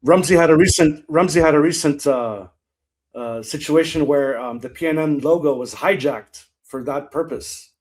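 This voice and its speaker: A man in a political podcast discussion, speaking haltingly with fillers. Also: fairly high pitch.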